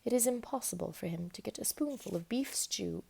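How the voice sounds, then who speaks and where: A woman reading a children's book aloud in an expressive, emphatic storytelling manner. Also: mid-range voice, brisk, vocal fry, a little hiss or hum. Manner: animated, emphatic storytelling with dramatic stresses. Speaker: storybook reader.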